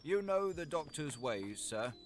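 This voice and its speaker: A man voicing a frightened, deferential butler in an audiobook, hoarse and anxious. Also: a little high, very animated, a little slow, soft background music, voice pushed up. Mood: fearful. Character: frightened elderly male butler, deferential and dread-filled. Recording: heavy vehicle noise over voice.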